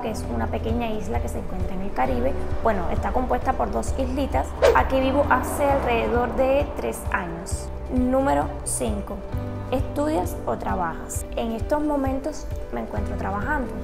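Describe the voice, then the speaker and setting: Cuban woman, late twenties, chatty vlogger, gravelly voice, music bed behind her. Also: fairly high pitch. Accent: Cuban Spanish. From Cuba. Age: 20s. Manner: chatty, answers each question in turn. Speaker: YouTuber. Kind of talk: casual Q&A vlog to camera. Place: indoors, large room with tables.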